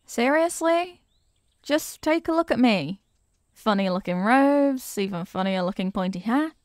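A woman playing a young, eager and kindly apprentice witch in an ASMR roleplay, chatty, teasing and expressive as she talks straight to the listener. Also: fairly high pitch, measured pace, dry recording, clean studio sound. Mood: earnest, indignant. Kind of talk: ASMR character roleplay, scripted.

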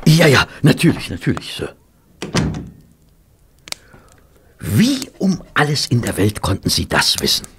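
A man's voice: mid-range voice, huge pitch swings, normal speed, a bit bright, a little hiss or hum. A man playing a polite, curious doctor and detective's companion in a German audio drama, hesitant and easily astonished. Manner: hesitant, easily amazed, questioning.

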